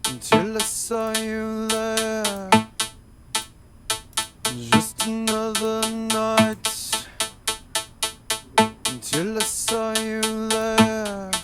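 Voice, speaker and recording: Slow high female singing over loud music. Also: level tone. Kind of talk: singing a repeated vocal sample. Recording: music over a played-back sample.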